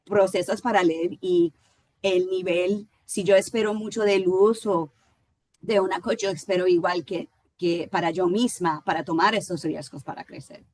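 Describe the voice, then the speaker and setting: A woman from Puerto Rico speaking on a panel, hesitant and halting, with frequent restarts. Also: lowish voice, steady tone, a little mellow. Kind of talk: panel conversation.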